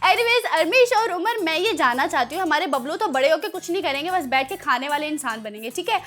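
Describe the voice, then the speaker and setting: A woman hosting a children's show, chatting in an animated way with playful scolding for her young audience. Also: very high-pitched, brisk, faint music behind.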